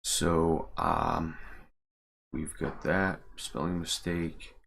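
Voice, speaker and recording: A man's voice: very deep voice, level tone, extremely slow, vocal fry, fairly bright tone, a little hiss or hum. A man giving casual live commentary as a game modder and streamer, muttering and thinking aloud as he works at his computer. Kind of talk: casual live commentary while working on screen.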